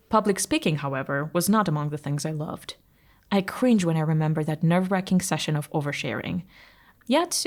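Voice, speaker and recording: Low-voiced woman. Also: a little mellow. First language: Armenian. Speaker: narrator reading a personal essay. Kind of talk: scripted audio article narration.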